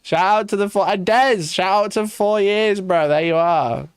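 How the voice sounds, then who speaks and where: A man's voice: high voice, normal speed, smooth, no room sound, clean studio sound. A man in his thirties chatting casually on a live stream, reacting to old clips, swearing and laughing at himself. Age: 30s. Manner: swearing, laughing, self-mocking.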